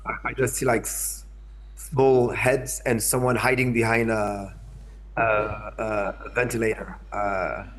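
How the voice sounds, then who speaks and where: A man's voice: on the low side, level tone, slow pace, a bit bright, low-quality recording. A man from France with a French accent, giving a hesitant lecture over a video call, often repeating words and checking in with his listeners. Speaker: invited speaker, curator. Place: in São Paulo, remote.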